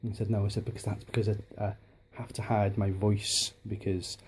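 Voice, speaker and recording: A man in his thirties from Liverpool, chatting casually on a home vlog with a softened Liverpool accent, rambling with many restarts and ums, filmed on a handheld camera in his dining room. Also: lowish voice, little pitch movement, a little fast, a little hiss or hum. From Liverpool, England. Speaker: hobbyist vlogger. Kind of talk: casual home video chat.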